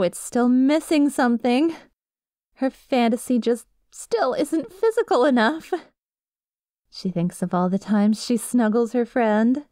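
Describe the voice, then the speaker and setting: A woman's voice: fairly high pitch, warm tone, dry recording. Woman acting out a coy yandere girl, dry close sound. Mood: yearning, obsessive. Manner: coy, rambling, self-justifying. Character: lovesick, obsessive yandere best friend girl confessing through a story. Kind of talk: ASMR roleplay, conversational storytelling.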